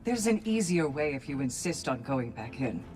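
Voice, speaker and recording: An actress playing a brisk, frank warrior woman in a video game, with a direct manner. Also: extremely low pitch, big pitch swings. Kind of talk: video game cutscene acting. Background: game music.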